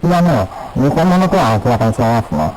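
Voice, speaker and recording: Guy doing a polite chatty professor on a phone interview, mid pitch, clear background noise and clicks. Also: big pitch swings, moderate pace, close to an everyday voice. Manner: hesitant, polite, colloquial. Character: chatty male marine biology professor.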